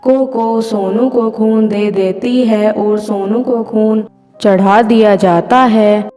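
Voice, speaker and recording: A woman's voice: medium pitch, fairly even intonation, slow pace, dark tone. A woman narrating a scripted children's moral story as a storyteller. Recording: long hall reverb, faint music. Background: music.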